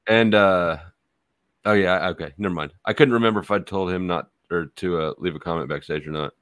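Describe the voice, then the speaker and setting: A man's voice: sits low, fairly quick, fairly bright tone, no room sound, clean studio sound. A man hosting a livestream, talking in a loose, rambling, easygoing way as he wraps up. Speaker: livestream host. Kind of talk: casual livestream chat.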